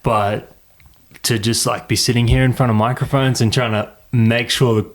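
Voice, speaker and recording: A man's voice: medium pitch, level tone, moderate pace. A young man with an Australian accent, chatting in a laid-back, informal way as a podcast co-host. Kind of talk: casual chat.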